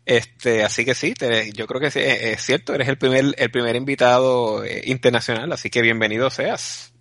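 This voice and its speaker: Bright-voiced Puerto Rican man. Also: medium pitch, steady tone, moderate pace, dry recording, studio quality. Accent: Puerto Rican Spanish. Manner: teasing, jokey. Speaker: podcast co-host. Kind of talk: casual podcast banter.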